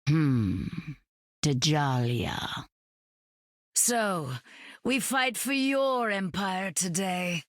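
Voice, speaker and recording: A woman playing a regal, sly video game ruler of the afterlife who covets empires, with a drawn-out, menacing delivery. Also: fairly low pitch, big pitch swings, no echo, clean studio sound, exaggerated voice. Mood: sly menace. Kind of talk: video game character voice acting.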